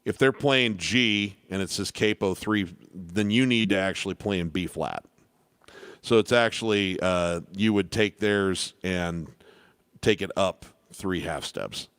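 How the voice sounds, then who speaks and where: Baritone man, piano teacher, loose rambling delivery with restarts, very low and smooth, close dry sound. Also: unhurried, no room sound. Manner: relaxed, rambling with restarts and fillers. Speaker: piano teacher hosting live Q&A. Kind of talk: casual live-stream teaching.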